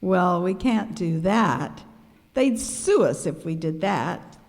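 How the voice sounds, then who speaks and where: Wide-eyed ship's captain female amateur, comic radio skit, swinging intonation, unhurried pace, hall reverb. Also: lowish voice, animated. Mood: grateful. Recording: reverberant hall, live recording.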